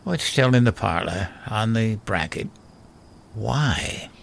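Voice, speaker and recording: A man playing a gentle, bereaved elderly husband and father in a horror audio drama, hesitant and pleading. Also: lowish voice, lively intonation, slow pace, creaky, full character voice. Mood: alarmed, reluctant.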